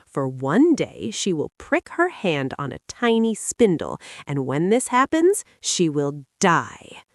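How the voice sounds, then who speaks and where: Woman voicing a spiteful old fairy, low and menacing. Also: wildly swooping pitch, takes it slowly, dry recording, clean studio sound, heavily acted. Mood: cold spite.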